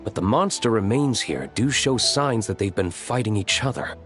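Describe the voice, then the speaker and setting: A composed gentleman vigilante from a game, shrewd and formal. His voice sits fairly low and moves expressively as he probes and explains, with faint music behind. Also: animated. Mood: grave, analytical. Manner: measured, formal, probing. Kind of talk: video game voice acting.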